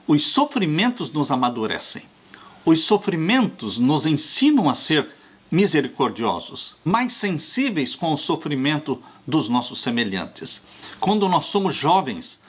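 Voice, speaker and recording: Pastor in his 60s, earnest sermon delivery, husky voice with a lively, rising and falling pitch. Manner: earnest, slow pastoral preaching, warmly addressing listeners as "dear ones". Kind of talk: sermon on a Bible study lesson.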